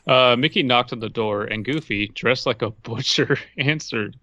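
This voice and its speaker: A man's voice: mid-range voice, normal speed, pushed and bright, no echo, lo-fi sound. A man co-hosting a comedy podcast, reading and bantering casually in a wry manner. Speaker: podcast co-host.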